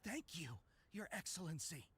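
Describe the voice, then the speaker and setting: A man's voice: on the high side, sing-song, moderate pace, fairly bright tone, breathy edge, exaggerated voice. A man playing a deferential, eager soldier in an anime video game. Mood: humble, grateful. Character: deferential soldier eager to obey his leader.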